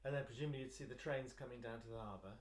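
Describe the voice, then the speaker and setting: A woman's voice: a bass voice, racing, darkish tone. A woman conducting a conversational interview, tentatively rephrasing her questions as she goes. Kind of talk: conversational oral history interview.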